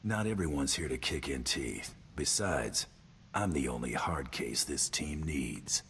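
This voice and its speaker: An actor playing a hard-bitten team leader in a video game, terse, dry and sardonic, with an air of threat. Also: male voice, a bass voice, lively intonation, a little slow, a bit bright, slight background noise, heavily acted. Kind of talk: video game character acting.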